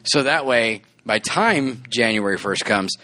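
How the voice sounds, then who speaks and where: A man's voice: medium pitch, lively intonation, unhurried, sharp tone. A man in his forties, a podcast co-host, talking casually and earnestly with restarts and frequent laughs. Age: 40s. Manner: rambling, earnest, laughs often. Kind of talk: casual chat.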